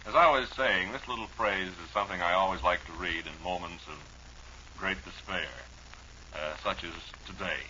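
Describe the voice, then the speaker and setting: Deep-voiced, sharp-edged man rambling on old radio. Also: normal speed. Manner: hesitant, dryly humorous, meandering. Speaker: radio host. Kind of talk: rambling deadpan comic radio monologue. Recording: muffled noisy old radio recording.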